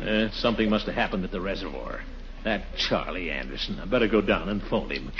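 An actor playing a pompous, excitable middle-aged householder who mutters and exclaims theatrically in a 1940s radio comedy. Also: male voice, low voice, a little fast, lo-fi sound. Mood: grumbling. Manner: muttering to himself, theatrical exclamations, chuckling bluster. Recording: noisy, band-limited 1940s radio recording.

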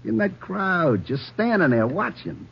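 An actor playing a menacing American gangster on the run in a 1950s radio crime drama, barking curt slangy orders and sardonic threats. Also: male voice, high voice, sing-song, measured pace, creaky, husky, heavily acted. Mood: gloating. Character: menacing cop-killing gangster on the run, male. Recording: muffled, noisy 1950s radio recording.

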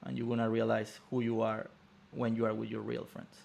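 A man's voice: mid-range voice, completely flat delivery, a little fast, vocal fry, a little mellow, a little hiss or hum. A man in his thirties whose first language is Spanish, answering as a podcast guest in short, simple phrases. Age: 30s. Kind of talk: casual interview chat.

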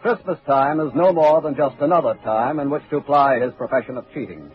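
A man narrates an old radio crime drama. His medium-pitched voice is formal and oratorical. It comes through a tinny vintage recording with faint music behind. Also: a little fast. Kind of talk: 1940s scripted radio narration.

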